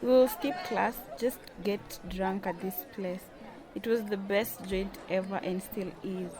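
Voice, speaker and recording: A woman's voice: mid-range voice, fairly even intonation, unhurried, crowd noise, lightly acted. A woman acting a bold, self-assured working wife in a radio drama. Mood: friendly, easygoing. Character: bold, defiant, fun-loving working wife.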